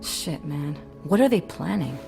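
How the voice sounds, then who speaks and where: A woman's voice: sits low, lively intonation, a little slow, gravelly, music in the background, full character voice. An actress playing a streetwise, wary female activist hacker in a video game, talking in a blunt, slangy, casual way. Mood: wary, indignant. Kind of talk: video game cutscene acting.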